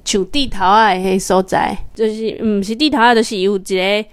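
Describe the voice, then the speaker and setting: A woman's voice: medium pitch, fairly even intonation, moderate pace, smooth, dry recording. A woman with a Taiwanese accent talking children through a picture book as their mother, asking guiding questions and repeating answers.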